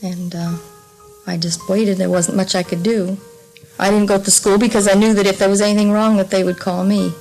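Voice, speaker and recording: A woman's voice: medium pitch, level tone, a little fast, darkish tone, soft background music, low-quality recording. A woman giving a plain-spoken, hesitant recollection in a 1950s radio interview. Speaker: interviewed parent. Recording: 1950s radio documentary tape.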